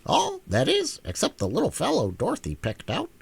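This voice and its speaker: A man putting on a sharp-voiced bossy hen. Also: high-pitched, huge pitch swings, gravelly, husky, no echo, voice pushed up, full character voice. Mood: brisk. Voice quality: sharp, brisk.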